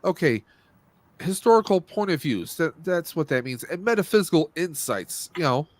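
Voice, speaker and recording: A man's voice: fairly high pitch, lively intonation, normal speed, no echo. A man hosting a casual movie review podcast, speaking in a blunt, opinionated and rambling way. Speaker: podcast host.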